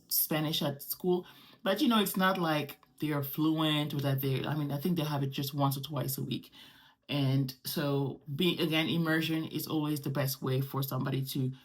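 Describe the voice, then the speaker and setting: Low-pitched woman from Morocco, native French speaker. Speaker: host. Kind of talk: casual vlog monologue.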